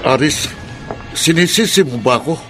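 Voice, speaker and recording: A man's voice: a little high, animated, moderate pace, over music, close to an everyday voice. An actor playing a troubled middle-aged father in a horror radio drama, speaking in a grave, insistent way. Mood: insistent, bitter.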